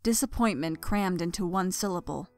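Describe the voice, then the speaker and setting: A woman's voice: on the low side, moderate pace, darkish tone, dry recording, soft background music. A woman reading scripted story narration expressively as the narrator.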